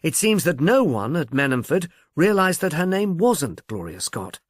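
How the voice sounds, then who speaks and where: Man as an exasperated policeman, animated pitch. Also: moderate pace, no room sound. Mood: grudging, explanatory. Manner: dry, complaining, precise. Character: exasperated, sceptical policeman, wearily grudging.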